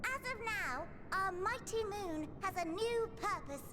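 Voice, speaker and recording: A woman voicing a chipper, bossy little moon bunny who gives brisk, theatrical orders in a video game, with music behind. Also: extremely high pitch, animated, noisy background. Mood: rousing, urgent. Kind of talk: video game character acting.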